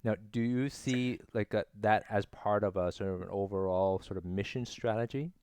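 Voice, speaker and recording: A man's voice: lowish voice, moderate pace, a little mellow, clean tone, no echo. A man interviewing guests, who stammers and repeats words as he forms his questions.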